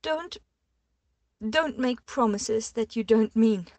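Woman reading as a tearful, breathless young woman, high and loud, storybook heroine, dry close sound. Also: takes it slowly, no room sound. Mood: tearful defiance. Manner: trembling, breathless, desperate pleading. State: crying, hyperventilating. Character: distraught young woman, pleading and near tears.

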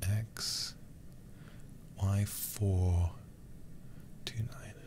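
A man livestreaming a horror game in ASMR style, muttering to himself in a hushed, breathy voice with casual swearing. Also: sits low, steady tone, extremely slow, background noise. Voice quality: breathy, often whispered.

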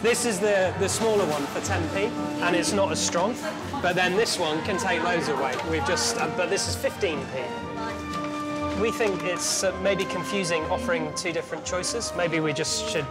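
A British man in his thirties talks casually, upbeat and polite, like a boss out on the shop floor. His voice is a little high. Music plays prominently behind him. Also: moderate pace. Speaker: company boss. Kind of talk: casual workplace chat. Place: inside a busy supermarket.

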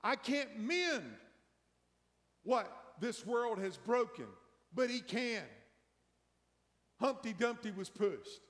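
A middle-aged man preaching as pastor, building emphasis through a slow sermon before a hushed prayer in a large church. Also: extremely high pitch, very animated. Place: large church sanctuary, empty pews. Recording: long church hall reverb.

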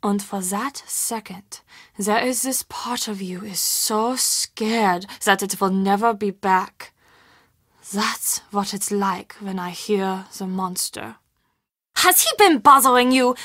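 An actress playing a friendly, earnest castle hostess in a video game, confiding and expressive in manner. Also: female voice, mid-range voice, slow, dry recording, studio quality. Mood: fond, exasperated. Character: friendly young German castle hostess, earnest, spooked, chatty. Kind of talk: video game dialogue acting.

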